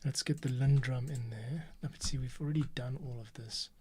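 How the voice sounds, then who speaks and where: A mid-pitched host talks through the edit as it happens, casual and musing. Music plays clearly underneath, with bits of typing. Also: steady tone, fairly quick. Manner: musing, talking to self while working. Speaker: podcast host and editor. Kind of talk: casual editing commentary.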